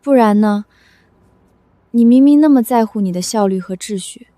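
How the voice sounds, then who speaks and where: A feisty young office heroine from an animated romance drama, voiced by a woman. Her voice is high and close-miked dry, and she challenges people with indignant, flustered questions. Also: moderate pace, no echo, lightly acted. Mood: frustrated.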